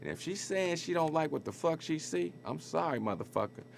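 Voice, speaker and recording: An actor with an American accent playing a swaggering, flirtatious street hustler in a video game cutscene, with slangy, cocky smooth talk. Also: male voice, a little high, lively intonation, fairly quick, slight background noise. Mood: warning, cocky.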